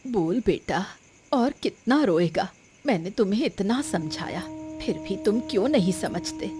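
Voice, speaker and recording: A woman's voice: medium pitch, expressive, a little slow, a little hiss or hum, close to an everyday voice. A woman playing a patient village mother in a cartoon, consoling and coaxing her grown son with advice. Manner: gentle, coaxing, advising. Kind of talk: Hindi cartoon moral story acting.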